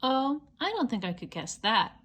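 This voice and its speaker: A woman's voice: on the high side, sing-song, normal speed, a bit bright, husky, pitched above the performer's own voice, exaggerated voice. A woman voicing a big, patient, loving grown-up male hare, tender and reassuring, in a storybook reading. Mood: loving, playful.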